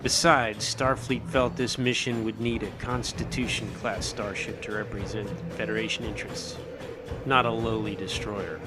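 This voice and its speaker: Seasoned starship captain, male actor, measured storyteller with a modest streak, sci-fi audio drama, music bed. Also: on the low side, fairly even intonation, close to an everyday voice. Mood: recounting.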